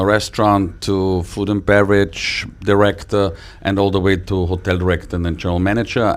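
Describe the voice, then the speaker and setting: A middle-aged or older man from Austria, a native German speaker with an Austrian accent, answering interview questions as an executive. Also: low voice. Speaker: interview guest, cruise line executive.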